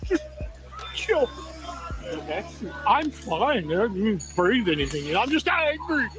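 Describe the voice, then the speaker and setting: A man in his seventies, a protester outdoors, shouting loudly and cursing at a distant camera. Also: high-pitched, very animated, slow, over music. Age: 70s. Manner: loud, agitated and cursing. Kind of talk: shouting on the street.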